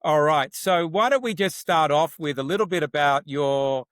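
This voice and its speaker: A man's voice: on the high side, moderate pace, fairly bright tone, no room sound. A middle-aged man with an Australian accent, hosting a conversational interview and often restarting and repeating words. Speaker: podcast host.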